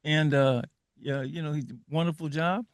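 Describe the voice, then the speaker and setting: A man's voice: fairly high pitch, steady tone, normal speed, no room sound. A man hosting a talk radio show in a casual style, laughing often, repeating words and using street slang.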